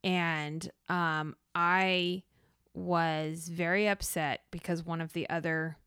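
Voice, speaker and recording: A woman's voice: on the low side, little pitch movement, takes it slowly, a bit bright, clean tone, no echo, clean studio sound. A woman telling personal anecdotes in casual conversation as a podcast co-host, acting out the remarks she quotes.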